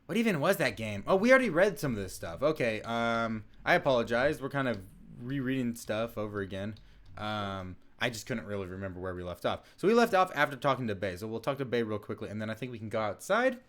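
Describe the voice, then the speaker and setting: A man's voice: medium pitch, very animated, fast, fairly bright tone. A young man hosting a casual let's-play, chatting in a rambling way with lots of fillers and restarts. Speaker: gaming channel host.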